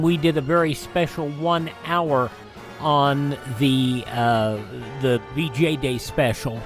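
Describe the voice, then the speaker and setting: A man hosting a radio program in a conversational, somewhat halting and rambling way. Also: on the high side. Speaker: radio show host.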